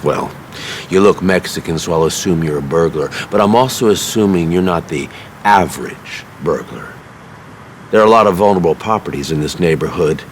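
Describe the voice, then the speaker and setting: Game villain: smug crime boss, low voice, swooping theatrical taunts, faint rumble behind. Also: male voice. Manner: taunting, theatrical, drawn-out threats. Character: menacing, smug crime boss confronting thieves. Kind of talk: video game cutscene acting.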